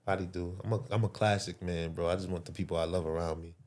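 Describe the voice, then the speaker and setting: A man's voice: sits low, fairly even intonation, quick pace, a little mellow. A man, chatting casually as a podcast guest with loose street slang and lots of fillers. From Brooklyn, New York. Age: 20s. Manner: loose, filler-heavy street slang, says bro a lot. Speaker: guest entrepreneur.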